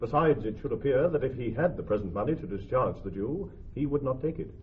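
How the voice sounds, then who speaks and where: An actor playing a sombre gentleman messenger in classical radio drama, speaking grave formal verse. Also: mid-range voice, animated, brisk, natural voice. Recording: tinny, phone-like vintage radio sound.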